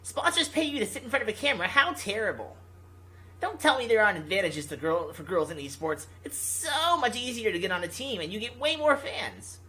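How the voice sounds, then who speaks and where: A man plays a brash young pro gamer in a visual novel, teasing and quick to complain. His pitch moves around a lot. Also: sing-song, sharp tone, exaggerated voice, low-quality recording. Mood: scornful. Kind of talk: visual novel character reading.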